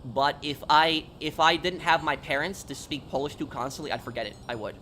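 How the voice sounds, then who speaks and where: A man chatting casually on a gaming livestream, answering viewers in a halting, repetitive, emphatic explanatory way. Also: fairly high pitch, pushed and bright, slight background noise.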